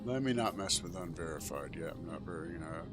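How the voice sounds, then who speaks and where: Guy streaming a game, lowish voice, just thinking out loud casually, music going behind him. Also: expressive, brisk. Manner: musing aloud, thinking through questions. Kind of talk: casual gameplay commentary.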